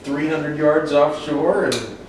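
A man's voice: on the high side, slow, background noise. A man who fishes tournaments, telling his part casually at a roundtable. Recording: echoey room from far off.

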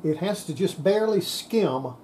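An older man giving an unscripted hands-on repair demonstration, halting and muttering to himself as he works at a bench. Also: high-pitched, lively intonation, heavy background noise. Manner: halting, muttering to self while working. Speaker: radio repair hobbyist, presenter. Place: indoor workbench.

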